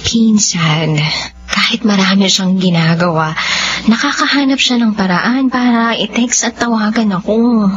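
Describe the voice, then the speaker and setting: A woman's voice: mid-range voice, a little slow, lightly acted. An actress playing a caring, anxious young girlfriend with a Filipino accent in a radio drama. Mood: worried. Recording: muffled radio recording, faint noise.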